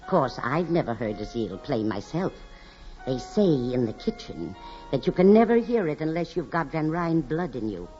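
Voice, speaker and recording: Low-voiced, foreboding housekeeper. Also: female voice, big pitch swings, warm tone, soft background music. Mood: ominous. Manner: grave, deliberate storytelling. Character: ominous housekeeper woman, grave, gossiping, foreboding. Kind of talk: 1940s radio acting. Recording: dull 1940s radio recording.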